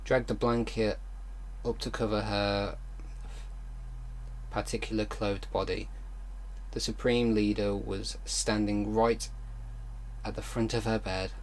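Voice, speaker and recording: Low-voiced man reading aloud and reacting. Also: little pitch movement, slight background noise, poor audio. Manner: halting reading, exasperated disbelieving outbursts, casual swearing. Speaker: online video host. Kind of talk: reading fan fiction aloud with exasperated reaction commentary.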